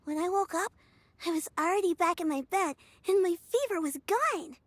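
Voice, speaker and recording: A woman's voice: very high-pitched, animated, normal speed, no echo. A woman voicing a shy, earnest little village girl in a video game, with a hesitant, childlike way of talking. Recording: music under the voice.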